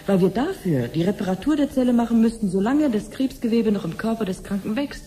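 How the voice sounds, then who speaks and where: An actress playing a friendly, patient cancer researcher in a radio drama, explaining things clearly like a teacher. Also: female voice, a little high, animated, fairly quick, warm tone, slight background noise, close to an everyday voice. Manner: didactic, clearly phrased explaining. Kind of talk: 1970s German radio drama acting.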